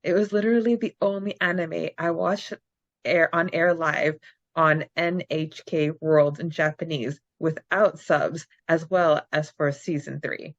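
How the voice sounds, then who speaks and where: A woman's voice: sits low, steady tone, no room sound. A woman co-hosting a casual fan podcast, reading out questions and answers and chatting. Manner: reads questions aloud, quick bursts, laughs often. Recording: dry, dull lo-fi sound.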